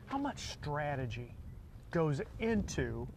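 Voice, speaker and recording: A man's voice: a little high, sing-song, takes it slowly, darkish tone, a little hiss or hum. A man hosting a sports interview show, asking casual, conversational questions.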